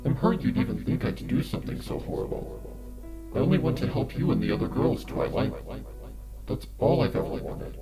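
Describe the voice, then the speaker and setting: A man voicing a sinister monster posing as a friend in a dramatic story reading, with a twisted, whispery voice and gentle menace. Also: mid-range voice, big pitch swings, brisk, gravelly, rough recording. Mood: coaxing, amused. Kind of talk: dramatic fan fiction audio reading. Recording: loud music under the voice.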